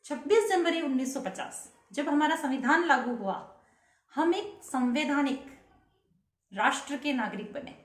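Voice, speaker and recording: Woman, vlogger, earnest, rhetorical questions, high voice, roomy echo. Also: lively intonation, measured pace. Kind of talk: patriotic speech to camera.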